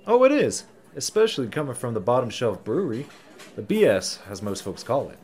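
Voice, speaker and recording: A man playing a chatty, easygoing small-town local with a folksy, expressive manner in an audio drama. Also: mid-range voice, a little fast, natural voice. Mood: genial, chatty.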